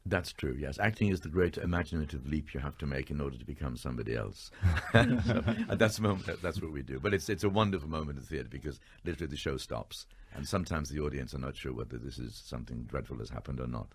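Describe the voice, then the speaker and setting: An older man, an actor guest chatting briskly on a radio interview and laughing easily. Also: very deep voice, husky. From Ireland.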